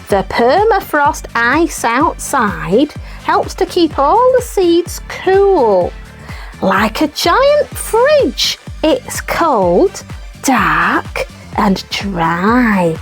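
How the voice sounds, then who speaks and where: A high, animated puppet co-host played by a woman, talking very slowly. She is bossy yet cheerful, in children's news show style. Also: exaggerated voice. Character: bossy, cheerful puppet co-presenter, teasing coach.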